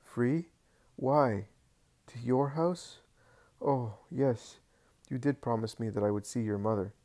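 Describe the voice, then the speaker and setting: A man's voice: on the low side, steady tone, moderate pace, dark tone, natural voice. A man voicing a frail, feverish dying male servant, weak and grateful.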